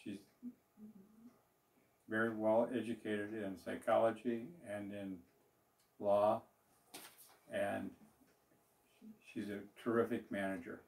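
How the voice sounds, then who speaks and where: A man's voice: lowish voice, flat delivery, slow, creaky, pauses often, darkish tone. An older man, a business owner talking to the camera in a small office in a plain, rambling way, with fillers and restarts. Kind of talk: speaking to camera about his business. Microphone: far camera. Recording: roomy echo, voice from a distance.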